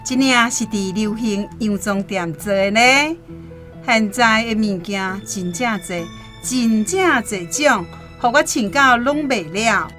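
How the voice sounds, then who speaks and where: A woman's voice: medium pitch, takes it slowly, fairly bright tone. A woman acting in a Taiwanese radio drama as a vain, pleasure-seeking society wife, showy and self-satisfied. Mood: vain, pleased. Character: vain, fashion-loving, pleasure-seeking married society lady. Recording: music and jingles behind voice.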